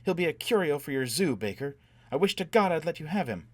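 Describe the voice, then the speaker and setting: Guy doing a stubborn fiery woman from a pulp space story, voice pushed high, really urgent. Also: sing-song, brisk, darkish tone, husky. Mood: furious. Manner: urgent, vehement delivery. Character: fierce, stubborn, fiery young woman in a pulp sci-fi adventure.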